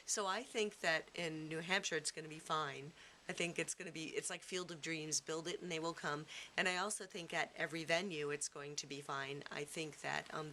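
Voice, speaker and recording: A low-voiced woman with a very bright, forceful edge answers interview questions. She is middle-aged and talks at length with enthusiasm and emphasis. A faint hiss sits behind her. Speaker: theatre cast member.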